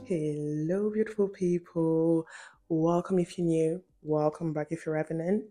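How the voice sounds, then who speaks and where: A woman's voice: deep voice, steady tone, measured pace, over music. A young woman talking casually and emphatically to camera in an informal, slangy video essay style, recorded in a room at home. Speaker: video essayist and channel host.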